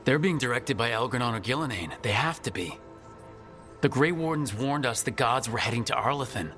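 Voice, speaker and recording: Game hero, acted by a man: wry male leader, middle register, everyday composed delivery, soft score underneath. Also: medium pitch, animated, a little fast, faint music behind, lightly acted. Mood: serious. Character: wry, capable heroic male team leader. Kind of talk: video game voice acting.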